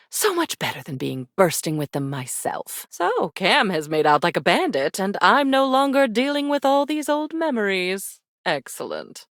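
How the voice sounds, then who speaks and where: A woman's voice: fairly low pitch, fairly bright tone, no room sound, clean studio sound. A woman playing an arch, darkly elegant embodiment of memories in a video game, drawling and teasing with endearments. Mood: pleased, gracious. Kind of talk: video game character acting.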